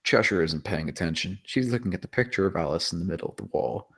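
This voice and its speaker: A man's voice: low-pitched, animated, fast, vocal fry, fairly bright tone, slightly rough. A man reading scripted stage directions as the narrator of a screenplay table read.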